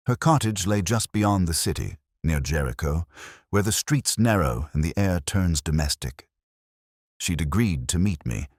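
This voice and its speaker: A man reading scripted first-person mystery narration in a measured, literary, melancholy manner. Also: very deep voice, slightly rough, dry recording, studio quality.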